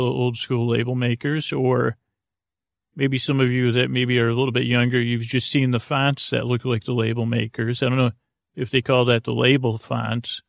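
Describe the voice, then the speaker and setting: Gravelly, rambling male podcast host. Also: medium pitch, no echo, clean studio sound. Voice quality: gravelly, creaky. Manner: halting, meandering, self-deprecating rambling. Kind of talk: rambling bedtime monologue to help listeners sleep.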